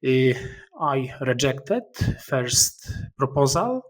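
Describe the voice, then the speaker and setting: A middle-aged man with a Polish accent, a guest author answering interview questions in careful, halting English with frequent pauses. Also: mid-range voice, sing-song. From Poland.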